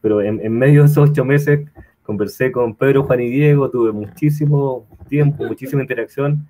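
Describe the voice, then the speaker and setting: A man's voice: mid-range voice, moderate pace, dark tone, rough recording. A Chilean man with a Chilean accent giving a conversational webinar talk, often restarting phrases and tagging them with "¿vale?". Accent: Chilean, slightly mixed after living in Colombia. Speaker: guest speaker, consultancy founder.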